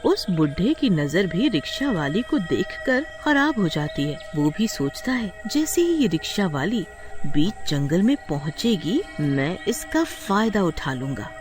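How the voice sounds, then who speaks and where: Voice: medium pitch, sing-song, unhurried. A narrator telling a scripted horror story in a storytelling style. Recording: loud music behind the voice. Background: loud music.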